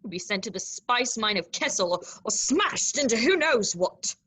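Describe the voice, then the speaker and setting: A woman's voice: on the high side, lively intonation, moderate pace, sharp tone, husky, full character voice. A woman playing an anxious, slender humanoid robot in a script table read, fretful and dramatic in her phrasing. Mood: fretting.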